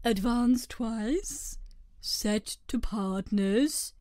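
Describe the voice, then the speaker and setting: A woman's voice: on the high side, extremely slow, a little mellow, dry recording. A woman voicing a melodramatic male turtle creature from a storybook, theatrical and emphatic. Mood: subdued. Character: melodramatic male Mock Turtle, eager then mournful. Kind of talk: storybook character voice.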